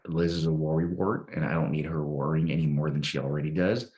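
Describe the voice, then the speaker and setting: A man with an extremely low voice talks in a reflective interview style. He hosts the conversation and picks his phrases in a measured, slightly hesitant way. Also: steady tone, a little mellow. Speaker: podcast host, police officer.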